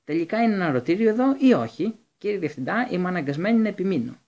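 A man voicing a stern, bossy older woman nurse who insists on quiet for her patients. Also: high-pitched, sing-song, slightly rough, pitched above the performer's own voice, heavily acted. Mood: scolding. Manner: scolding, insistent.

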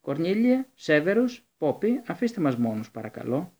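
A man voicing a calm, firm old headmaster who speaks with measured authority. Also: on the high side, dull audio, voice pushed up.

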